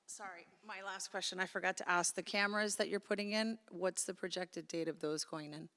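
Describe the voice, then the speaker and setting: Resident woman with a direct question, very low and level voice, echo from the hall. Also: fairly even intonation, brisk, bright, forceful tone. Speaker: local resident. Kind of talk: asking questions at a public meeting.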